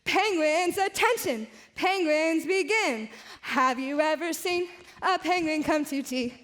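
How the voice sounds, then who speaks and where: Very high-voiced woman leading kids' songs. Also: takes it slowly. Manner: bright, playful sing-along. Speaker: children's song leader. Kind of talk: singing action songs for kids.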